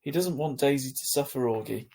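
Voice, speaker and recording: A man reads as a storybook mother, gentle and full of sorrow. The delivery is soft and tender, with a slight hesitancy. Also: mid-range voice, fairly quick, lightly acted. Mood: sad, resigned. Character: gentle, sorrowful mother breaking sad news. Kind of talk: children's novel read aloud.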